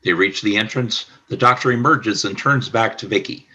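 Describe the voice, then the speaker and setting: A man with a bright, rough-edged, fairly low voice narrates a radio play. He reads scripted scene descriptions formally and deliberately, like an announcer. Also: highs cut off.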